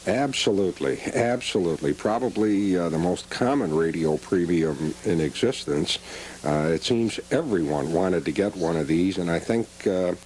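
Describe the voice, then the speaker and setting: A man with a very low voice answers questions as a collector, explaining patiently and slipping in dry wit. A faint hum sits under the aged cable TV recording. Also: animated, moderate pace, a little mellow, poor audio. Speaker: guest collector. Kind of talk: casual interview chat.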